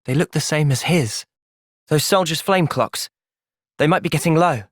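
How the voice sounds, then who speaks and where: A young man acting an earnest, thoughtful soldier hero in a video game cutscene, speaking in a measured, sincere way. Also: fairly high pitch, no echo, studio quality, close to an everyday voice. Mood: calm, pensive.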